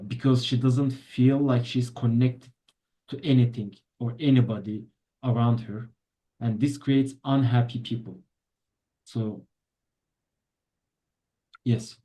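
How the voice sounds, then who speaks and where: A man in an online group discussion who speaks haltingly and trails off, also handling the shared slides. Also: mid-range voice, dark tone. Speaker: discussion participant sharing slides. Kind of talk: casual talk on a video call.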